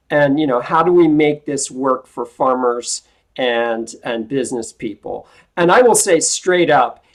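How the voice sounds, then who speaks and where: A woman instructor playing a politician's aide in a classroom role-play, talking frankly and informally over a video call, her voice lower than usual today. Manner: frank, informal, restarts often.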